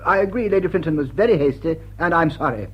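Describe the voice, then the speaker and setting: A pompous English lord in a classic radio drama. His raised, husky voice swings animatedly between hesitation and bluster. He sounds easily flustered. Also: fairly high pitch, expressive, fast, dull audio. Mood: strained courtesy.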